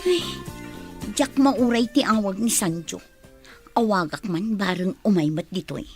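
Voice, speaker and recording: A woman's voice: mid-range voice, measured pace, darkish tone, soft background music, close to an everyday voice. A woman playing a timid young daughter and employee in an Ilocano radio comedy drama, with an Ilocano accent. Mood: grumbling. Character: young daughter, timid employee afraid of losing her job.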